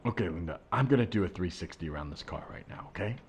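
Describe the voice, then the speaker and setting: Man playing low-voiced, exasperated jealous husband. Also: animated, a little mellow. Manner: halting, strained, insistent pleading. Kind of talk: improvised comedy sketch. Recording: podcast with faint background noise.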